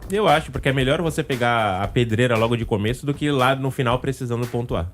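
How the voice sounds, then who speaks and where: A male football commentator with a Brazilian accent, speaking at a brisk pace and ordinary pitch. He talks casually, as on a panel, with music playing behind him. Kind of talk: casual sports discussion. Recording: music under the voice. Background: background music.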